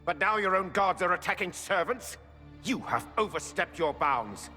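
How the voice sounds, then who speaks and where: An actor playing a pompous, accusing noble envoy in a fantasy video game, haughty in delivery. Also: male voice, high-pitched, expressive, moderate pace, pushed and bright. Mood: indignant. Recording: hall reverb with music behind.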